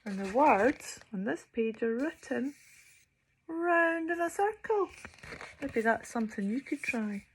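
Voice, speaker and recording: Slow, lilting woman reading a bedtime story. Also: sits high, expressive, a little hiss or hum, rough recording. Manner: theatrical, drops into hushed near-whispers, draws out words.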